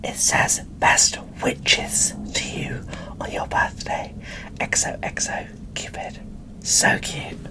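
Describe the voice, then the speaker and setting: A woman's voice: takes it slowly, low-quality recording. A woman whispering an ASMR unboxing commentary in a chatty, informal, gushing way. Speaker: video creator presenting a doll unboxing.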